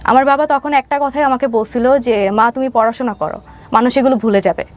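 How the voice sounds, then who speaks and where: Young bangladeshi woman, kinda high voice, telling her life story in an interview, bit hesitant with lots of fillers. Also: steady tone, a little fast. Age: young adult. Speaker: interview guest.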